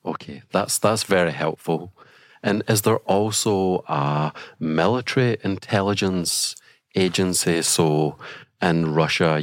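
A man hosting a podcast interview, asking measured, polite questions. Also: extremely low pitch, dry recording, studio quality. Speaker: podcast host.

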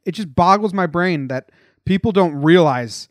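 An American man with a fairly high voice, recorded close and dry. He hosts a sports podcast in a casual, blunt and emphatic way. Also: lively intonation, moderate pace, dry recording. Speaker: podcast host.

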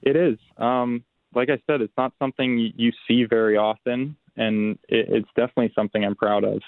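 A young man talks over a dry, tinny phone line. His voice is low and gravelly, and his pitch stays flat. He answers in a relaxed, halting way, often restarting his sentences. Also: no echo. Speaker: pro football player, guest. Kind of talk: phone interview.

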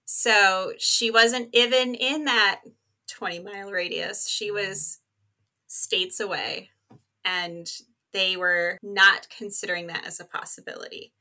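A woman with an extremely bright, sharp voice at an average female pitch. She is a podcast guest telling true-crime cases casually, explaining step by step in loose, chatty phrasing. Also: fairly even intonation, takes it slowly. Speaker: podcast co-host as interview guest. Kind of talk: casual conversational case storytelling.

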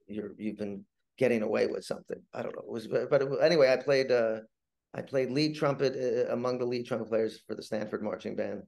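An older man telling casual anecdotes as a podcast guest, halting and repeating his words, in a self-deprecating manner. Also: mid-range voice, creaky, a little mellow.

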